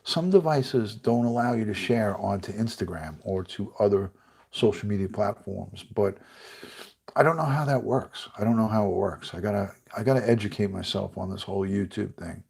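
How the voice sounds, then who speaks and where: A middle-aged retired detective from the United States chatting casually on a livestream, rambling and reading out viewer comments, with a congested, stuffy voice. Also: male voice, on the low side, moderate pace, gravelly, darkish tone, breathy edge.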